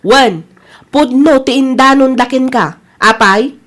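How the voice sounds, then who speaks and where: Actress as high-voiced, fed-up young Ilocano wife. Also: female voice, slow pace, over music. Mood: resolute. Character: fed-up young wife and mother, defiant, resolved to leave. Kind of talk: Ilocano audio drama acting.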